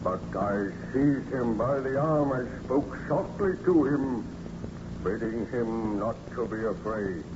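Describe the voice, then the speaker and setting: A man's voice: mid-range voice, lightly acted. A man playing a hideous, vengeful monster with ponderous, theatrical menace in an old radio drama. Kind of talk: 1930s radio acting. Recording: dull, noisy old radio recording.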